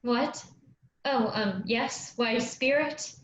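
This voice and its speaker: A woman's voice: on the high side, extremely slow. A woman playing an earnest, reverent young girl learning from forest spirits in a staged play reading. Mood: humble, grateful. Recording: roomy, dull, noisy lo-fi recording.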